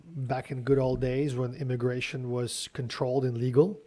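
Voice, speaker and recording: A man, a guest speaking in a measured, explanatory way. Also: mid-range voice, flat delivery, mellow. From Ukraine. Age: 40s. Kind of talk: casual podcast interview.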